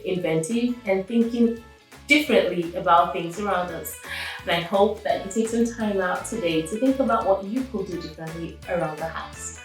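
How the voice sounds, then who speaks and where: A woman reading a story aloud to children as host, expressive and enthusiastic, with chatty asides and drawn-out emphasis. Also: medium pitch. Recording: very echoey room, distant mic, music behind.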